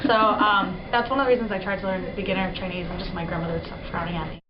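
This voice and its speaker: A woman's voice: mid-range voice, fairly even intonation, quick pace. A young woman telling a casual anecdote in an interview. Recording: echoey, muffled, very noisy.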